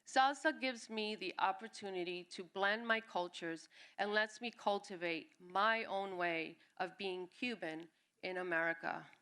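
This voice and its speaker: Woman reading her own story live, clear bright tone at a middle pitch, expressive phrasing. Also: measured pace. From born in Cuba, raised in New York City. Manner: expressive reading, briefly acting out quoted family members. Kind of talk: reading a personal essay at a live storytelling event. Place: live reading at a music venue.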